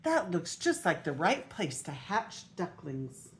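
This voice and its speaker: A woman's voice: on the low side, sing-song, slow. A woman voicing a fussy, easily flustered mother mallard duck from a picture storybook, with an emphatic manner. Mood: approving. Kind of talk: read-aloud picture storybook character. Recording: noisy homemade video recording.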